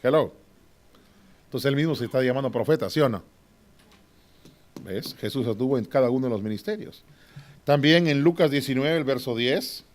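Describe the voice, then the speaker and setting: Man preaching a lively Bible class. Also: mid-range voice, normal speed, long pauses. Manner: swings from loud to hushed, with short laughs. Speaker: Bible teacher. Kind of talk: classroom sermon teaching.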